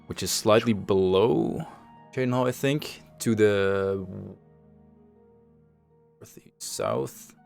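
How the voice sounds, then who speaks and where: Male stream host, deep smooth tone, measured casual explainer, faint music bed. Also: a little mellow. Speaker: host and project lead on a developer livestream. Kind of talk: casual show-and-tell commentary over game screenshots.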